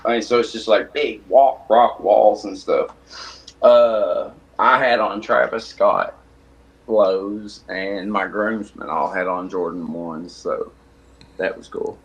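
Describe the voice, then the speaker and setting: A man with a strong Tennessee accent, telling relaxed, rambling stories in a casual podcast chat. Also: fairly low pitch, takes it slowly, low-quality recording. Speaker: guest.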